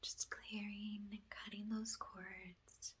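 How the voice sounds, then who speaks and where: A woman speaking in hushed whispers and soft speech as an ASMR energy healer, pausing often through repetitive, incantatory phrases. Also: medium pitch, little pitch movement, a little hiss or hum. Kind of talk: spiritual ASMR, soft guided clearing.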